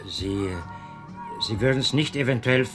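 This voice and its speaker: Actor as a bright, stammering, timid country man. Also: medium pitch, unhurried, faint music behind, natural voice. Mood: shy, eager. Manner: hesitant, stammering. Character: timid, eager, simple country man craving respect. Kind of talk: radio play acting.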